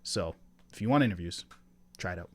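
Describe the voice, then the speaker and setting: A man's voice: sits low, expressive, fairly quick, crowd noise. A man hosting a sales support call, answering questions in a loose, rambling way with lots of ums and asides. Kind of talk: casual Q&A coaching on a group call. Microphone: video call.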